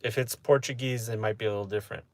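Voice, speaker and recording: A man chatting casually with his live-stream audience, relaxed and talkative, often asking "you know what I mean?". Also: fairly low pitch, rapid-fire, heavy background noise. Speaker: streamer answering chat.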